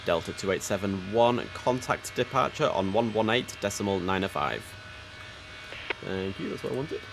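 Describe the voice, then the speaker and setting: A man's voice: fairly low pitch, animated, moderate pace, vocal fry, over music. A man streaming a game, mixing casual commentary with air traffic control instructions over background noise.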